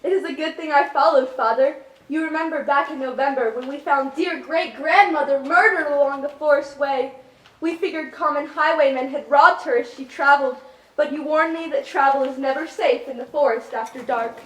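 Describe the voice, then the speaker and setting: A woman plays a brave, protective princess daughter. Her voice is very high and she declares her lines earnestly, in a staged musical reading with a lot of room echo. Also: moderate pace, lightly acted. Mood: earnest, urgent. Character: bold young princess, protective loyal daughter. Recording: echoey live stage.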